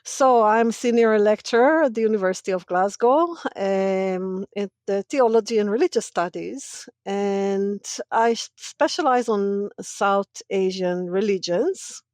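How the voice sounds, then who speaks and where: A woman's voice: mid-range voice, a little slow, hardly any pauses, a little mellow, no room sound, studio quality. A middle-aged woman from Israel, a native Hebrew speaker, speaking as an academic guest in a casual podcast interview, thoughtful and often laughing between points. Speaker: podcast guest, senior lecturer in religious studies.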